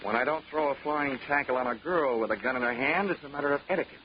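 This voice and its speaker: A man's voice: mid-range voice, quick pace, slight background noise, lightly acted. An actor playing a wry, hard-boiled investigator who narrates his case with dry, clipped wisecracks in 1940s radio style. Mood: wisecracking, firm. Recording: muffled 1940s radio with music.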